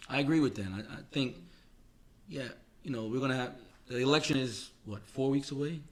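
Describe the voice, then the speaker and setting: A man co-hosts a casual podcast conversation, speaking in a halting, reflective way and stretching words for emphasis. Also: medium pitch. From Queens, New York, United States. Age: 40s. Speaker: podcast co-host.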